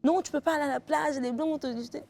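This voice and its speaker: A woman's voice: sits high, fairly even intonation, quick pace, creaky, darkish tone, faint music behind. A woman guest on a talk show speaks emphatically, giving heartfelt advice.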